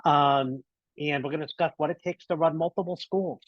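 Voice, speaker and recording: Male podcast host, upbeat, rambling, restarts sentences, slightly high voice, dull dry sound. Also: fairly even intonation, fairly quick, no echo. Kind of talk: casual podcast interview.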